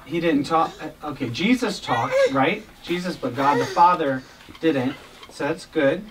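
A man's voice: fairly high pitch, a little slow, recorded from a distance, heavy background noise. A father leading his family's Bible time, encouraging and asking each person questions in turn. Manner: encouraging, asks questions one by one. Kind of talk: casual family discussion. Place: small home studio room, indoors.

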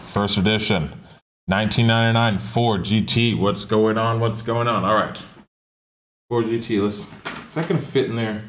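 A man's voice: mid-range voice, fairly bright tone. Upbeat man hyping a live auction. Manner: repetitive, upbeat, hyping each item. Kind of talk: live-stream auction patter.

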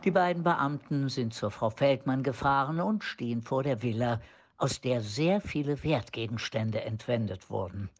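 A man's voice: medium pitch, moderate pace. A man reading scripted narration for a German audio drama.

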